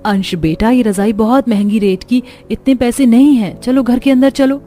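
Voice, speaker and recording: A woman's voice: a little high, steady tone, normal speed, mellow, natural voice. A woman playing a poor, worried daughter-in-law in a cartoon family story, speaking in a plaintive, homely manner.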